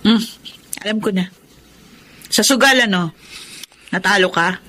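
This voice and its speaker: A woman's voice: medium pitch, unhurried, pushed and bright, slight background noise. A woman with a Filipino accent playing a burdened, anxious young older sister in an emotional radio drama. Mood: scolding, exasperated. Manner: emotional, pleading.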